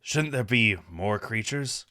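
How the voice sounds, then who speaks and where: Man voicing a deep, measured fox mentor. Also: expressive, sharp tone, dry recording, studio quality, heavily acted. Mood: calm, matter-of-fact. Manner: measured, matter-of-fact, advising. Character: calm, worldly male fox adventurer mentor. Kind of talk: visual novel character read-aloud.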